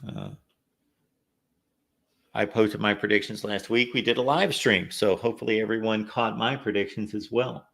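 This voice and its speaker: Gravelly low-voiced sports talk host. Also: male voice, moderate pace, fairly bright tone. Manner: reads viewer comments aloud, conversational analysis. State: slightly raspy from lack of sleep. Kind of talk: live call-in sports talk.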